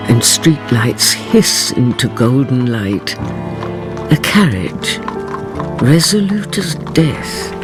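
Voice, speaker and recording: An actress playing a mysterious storyteller who narrates a radio drama in a hushed, portentous way. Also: female voice, extremely low pitch, heavily acted. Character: brooding, mysterious female storyteller.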